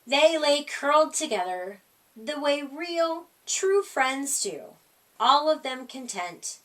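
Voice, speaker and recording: A woman reads a children's story in a high voice, slowly and deliberately. Her pitch moves up and down a great deal. She sounds slightly away from the microphone. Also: distant mic. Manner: expressive, deliberate phrasing. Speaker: story reader.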